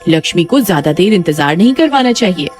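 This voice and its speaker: A woman voicing a sharp-tongued, bossy village wife in a cartoon story. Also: lowish voice, animated, fairly quick, faint music behind, close to an everyday voice. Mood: brisk, chiding. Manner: bossy, exclamatory.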